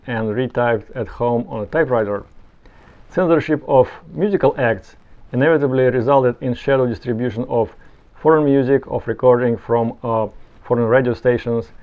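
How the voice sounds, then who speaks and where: A man's voice: mid-range voice, dull audio. A man giving explanatory, semi-scripted narration as a video presenter. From Soviet Union.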